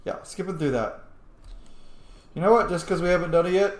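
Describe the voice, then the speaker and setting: A man's voice: high-pitched, a little fast. A man giving casual commentary as he plays a game, cheeky, blunt and sweary. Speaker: gamer, let's player. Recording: echoey room.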